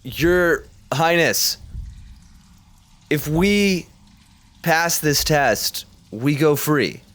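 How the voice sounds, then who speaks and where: A man's voice: fairly high pitch, expressive, extremely slow, a bit bright. A man talking casually as a player in a tabletop game, improvising with hesitant, wry bargaining.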